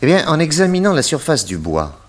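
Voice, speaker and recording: An actor playing a shrewd, confident detective in a French radio mystery play, courteous and deliberate as he explains and probes. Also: a little high, huge pitch swings. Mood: assertive, explanatory. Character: shrewd, theatrical male detective, confident and probing.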